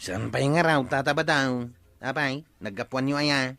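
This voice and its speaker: A man with an Ilocano accent playing a slow, drawling village watch chief in a comic radio drama. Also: mid-range voice, bright, forceful tone.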